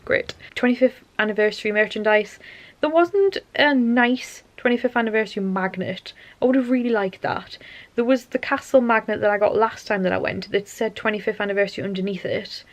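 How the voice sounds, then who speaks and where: A woman's voice: medium pitch, a little fast, no echo. A young woman, tired and losing her voice, rambling chattily to camera at home at night. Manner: rambling, chatty, self-interrupting. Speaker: vlogger. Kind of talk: casual chatty monologue to camera.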